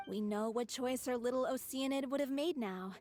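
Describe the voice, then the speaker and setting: A high, girlish-pitched young woman played by an actress speaks with gentle resolve, as a game character. Her delivery is sincere and polite, over faint music. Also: moderate pace, lightly acted. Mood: solemn, resolute. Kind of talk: video game voice acting.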